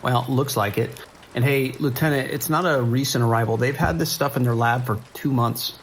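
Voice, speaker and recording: Weary American police dispatcher male actor, gravelly and procedural, naturalistic radio drama, rain and faint noise behind. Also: mid-range voice, close to an everyday voice, poor audio. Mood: concerned, measured. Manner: measured, procedural, hesitant pauses. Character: steady, weary male island sheriff's dispatcher on night shift. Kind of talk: naturalistic audio drama acting.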